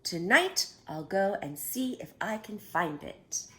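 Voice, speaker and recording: A woman's voice: mid-range voice, sing-song, takes it slowly, pushed and bright, exaggerated voice. A woman voicing a small, earnest toy bear boy full of wonder, sounding amazed at everything he finds. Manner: wide-eyed, wondering.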